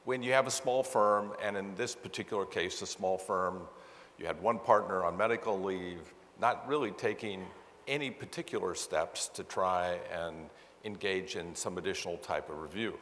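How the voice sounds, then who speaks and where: Male conference presenter, low voice, conversational and self-correcting, heard with long hall reverb. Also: moderate pace, a bit bright. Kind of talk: explanatory presentation to an audience. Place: large meeting hall with sound system.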